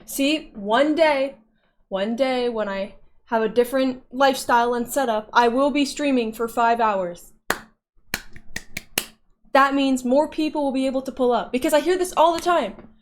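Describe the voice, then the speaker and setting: Casting: woman streamer, fairly high voice, chatty and playful, casual talk with her audience. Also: normal speed, slight background noise. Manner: chatty, playful, often laughing. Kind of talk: casual livestream chat reading viewer messages.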